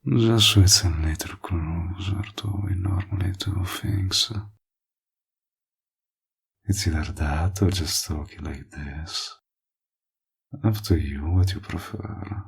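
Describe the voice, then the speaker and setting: A low-voiced male villain, acted by a man, speaks intimately to the listener in a roleplay audio. He is teasing, possessive and smug, taking his time with every line. His gravelly, husky murmur often sinks toward a whisper. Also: takes it slowly, long pauses, fairly bright tone, heavily acted.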